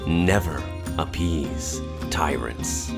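A man reading a podcast outro in a measured, slightly ominous tone. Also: very deep voice, creaky. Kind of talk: scripted outro. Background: loud music.

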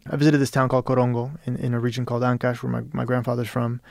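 A man's voice: mid-range voice, little pitch movement, racing, vocal fry, darkish tone, no echo, studio quality. A man from Peru talking as an interview guest, rambling with many restarts and "you know". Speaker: interview guest, novelist and radio producer. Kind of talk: casual interview conversation.

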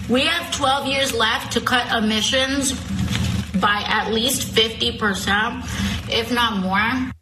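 A woman politician heard in archive clips, speaking emphatically. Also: on the high side. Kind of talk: speech and interview clips. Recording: noisy archive clips with background sound.

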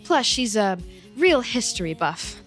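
A woman's voice: fairly high pitch, big pitch swings, measured pace, music in the background. An actress playing an eager, awkward, chatty young woman in an audio drama, both confiding narration and dialogue. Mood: chirpy, confiding. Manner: chatty, hesitant, nervously upbeat. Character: eager, awkward, clingy young woman, human best friend of a vampire.

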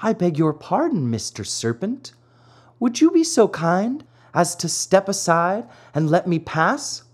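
Reader putting on a higher, pleading storybook puppet boy, remorseful and lively in tone. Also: measured pace, slightly rough. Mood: sweetly pleading. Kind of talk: storybook reading.

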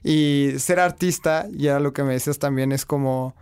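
A man's voice: fairly high pitch, fairly even intonation, moderate pace, a little mellow, clear, resonant tone, dry recording. A man hosting a podcast interview in a studio, chatting and asking questions in a friendly way. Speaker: podcast host. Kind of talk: casual interview.

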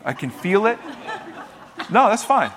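Conversational pastor with a raised voice. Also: male voice, high voice, sing-song, measured pace, a bit bright, slight background noise. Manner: conversational, joking with the congregation. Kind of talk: sermon. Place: church with live congregation.

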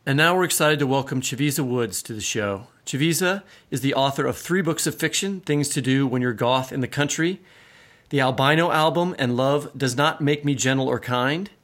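A man's voice: medium pitch, normal speed, fairly bright tone. A man co-hosting a podcast, reading a scripted introduction. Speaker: podcast co-host.

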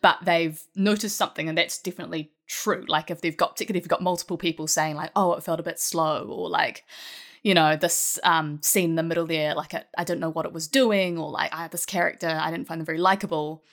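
A woman talking casually as a guest writer in an interview, animated and quick to laugh. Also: sits low.

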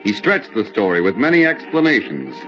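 A man's voice: lowish voice, huge pitch swings, a little fast, fairly bright tone, faint music behind. A man narrating a vintage radio Western in a scripted 1940s storytelling style. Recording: dull old-time radio recording.

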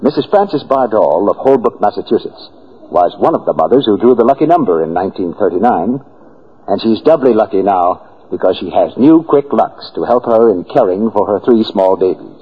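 A male announcer from 1940s radio, reading scripted copy. His voice is low and slightly rough, with animated intonation, on a dull old broadcast recording. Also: normal speed.

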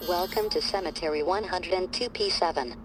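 A woman's voice: on the low side, fairly quick, background noise, natural voice. A woman voicing a polite, impersonal automated cemetery announcer in an audio drama. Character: automated cemetery announcer, courteous female machine.

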